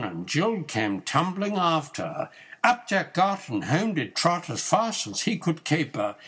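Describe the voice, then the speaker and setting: An older man reciting nursery rhymes as a grandfather would, in a rhythmic, measured singsong with drawn-out line endings. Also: on the high side, a bit bright, husky.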